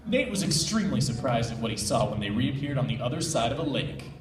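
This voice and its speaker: An excitable, jokey man reading fan fiction live as a panel host with comic banter. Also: mid-range voice, fast, background noise. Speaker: panel host and story reader. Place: convention panel room. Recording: echoey room, distant mic.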